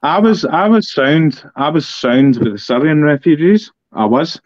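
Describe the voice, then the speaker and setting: A man's voice: a little high, lively intonation, measured pace, dry recording. A man with a Scottish accent in a casual political discussion, talking bluntly and emphatically and repeating points for effect. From Scotland. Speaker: political podcast co-host. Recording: dull, dry lo-fi audio.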